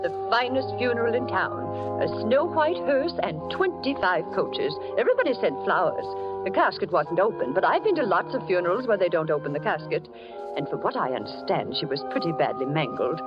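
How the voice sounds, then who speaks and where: Actress as a chatty small-town gossip. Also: female voice, medium pitch, very animated, brisk, dull audio, lightly acted. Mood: gossipy. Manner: chatty, relishing, sing-song. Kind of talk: 1940s radio acting. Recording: old radio with loud music bed.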